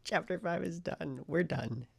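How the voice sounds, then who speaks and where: A man with a deeply gravelly, fry-heavy voice that sounds husky and rough at the edges. He talks to the camera in a casual diary-style vlog, chatty and excitable, often trailing off into soft, whispery asides. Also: a little slow. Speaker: writing vlogger.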